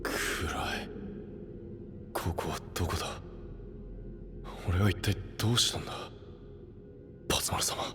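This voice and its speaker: An actor playing a possessed young would-be knight, rough and brusque, desperate for approval, in an anime-style audio drama. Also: male voice, deep voice, unhurried, pauses often, over music. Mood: dazed, brooding. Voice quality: gravelly. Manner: rough, brusque masculine speech.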